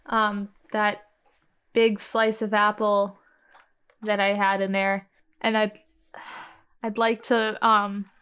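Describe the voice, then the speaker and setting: A woman's voice: medium pitch, little pitch movement, slow pace, long pauses, a bit bright, smooth. A woman playing a tabletop game, chatting casually and hesitantly about her character's moves. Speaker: player.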